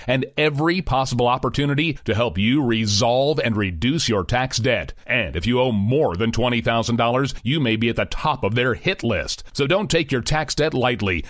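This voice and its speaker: A man's voice: mid-range voice, fairly bright tone, no room sound, clean studio sound. A man reading a radio commercial in an urgent, persuasive way. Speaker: ad announcer.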